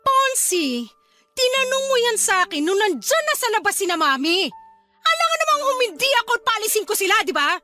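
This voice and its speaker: Very high bright voice, young resentful wife, Filipino accent, radio drama. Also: female voice, very animated, fairly quick, exaggerated voice. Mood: angry, hurt. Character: young wife and mother, resentful of being left out. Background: music.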